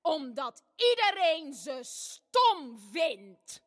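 An actress voicing a bossy, fiery eldest sister with emphatic delivery in a family radio play. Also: female voice, high-pitched, very animated, very slow, exaggerated voice. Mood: taunting.

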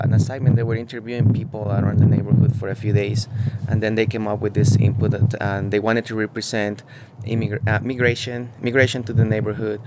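A man with a fairly low voice, speaking haltingly as he searches for his words. He is recorded outdoors on a field recorder, with faint traffic in the background. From Mexico. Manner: halting, searching for words, many fillers and restarts. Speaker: muralist interviewee. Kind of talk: casual on-site interview. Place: outdoors beside a mural.

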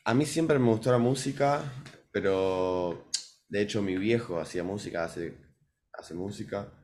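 A man's voice: fairly low pitch, a little slow, a little mellow. A man in his early twenties with a Buenos Aires accent, chatting casually as an interview guest. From Buenos Aires, Argentina. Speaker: musician guest. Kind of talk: casual storytelling interview answers.

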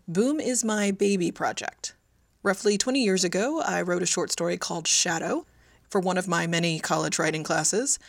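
Low-pitched, creaky-voiced woman, dry recording. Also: dry recording. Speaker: audio drama writer and director. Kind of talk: informal prepared narration about own work.

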